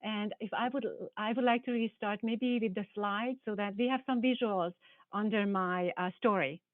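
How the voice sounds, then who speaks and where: Hungarian woman, professor presenting to teens, earnest and halting, thin telephone audio. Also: mid-range voice, fairly even intonation, no echo. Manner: hesitant, restarts phrases, earnest. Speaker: biology professor. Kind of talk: panel presentation with slides. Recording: tinny phone-like call audio.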